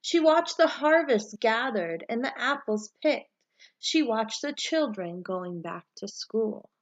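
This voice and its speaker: A woman teacher reading a storybook aloud to pupils and giving them instructions in a clear way suited to children. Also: fairly high pitch, lively intonation, slow pace, lo-fi sound.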